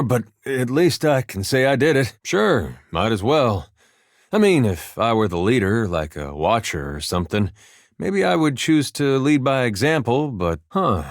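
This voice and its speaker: An actor voicing an easygoing, good-hearted farmer turned adventurer in a video game, with a folksy, dryly funny delivery. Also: male voice, on the low side, dry recording. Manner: folksy, laid-back, dry deadpan humor. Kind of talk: video game character dialogue.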